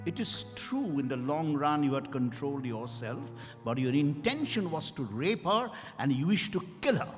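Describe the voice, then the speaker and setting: A man's voice: a little high, big pitch swings, moderate pace, few pauses. A man playing a stern, accusing doctor in a dramatized stage reading, emphatic and relentless in his cross-examining. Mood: stern, disapproving. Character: stern accusing male doctor interrogating Mister Y. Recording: hall reverb with music behind.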